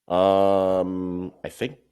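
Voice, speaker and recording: A man appearing as a guest on a soccer podcast, talking in a thoughtful, measured way and restating his points as he goes. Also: low voice, completely flat delivery, dark tone, smooth. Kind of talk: casual soccer podcast chat.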